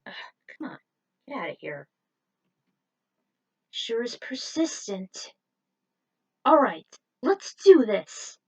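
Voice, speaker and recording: A woman voicing a brash, excitable young male rookie racer in a fan-fiction story. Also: a little high, slow pace, long pauses, heavily acted. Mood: grumbling, strained. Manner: excitable, incredulous, grumbling.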